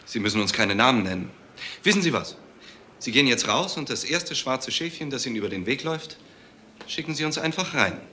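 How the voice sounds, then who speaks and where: A needling police detective in a crime radio drama. His forceful, bright voice is pointed and bossy, with faint noise behind it. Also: medium pitch, lively intonation, fairly quick.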